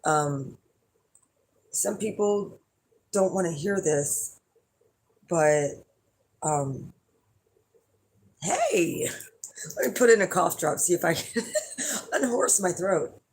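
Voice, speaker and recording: A hoarse middle-aged woman chatting casually to her viewers as an astrology reader, rambling and blunt with lots of fillers. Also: fairly low pitch, animated, slow, pauses often, darkish tone. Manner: rambling and blunt, with frequent fillers and some swearing. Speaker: astrology reader and channel host.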